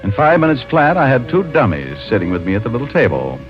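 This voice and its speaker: An actor playing a breezy, wry private detective who both talks and narrates in a 1950s radio mystery. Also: male voice, mid-range voice, huge pitch swings, quick pace. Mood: matter-of-fact. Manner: wry, casual, hesitating with uh. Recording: muffled old radio recording with music.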